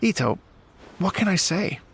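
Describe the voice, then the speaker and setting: Courteous, high-voiced gentleman musician. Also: very animated, measured pace, a little hiss or hum, full character voice. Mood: grateful, sincere. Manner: polite, heartfelt, well-spoken. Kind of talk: animated video game voice acting.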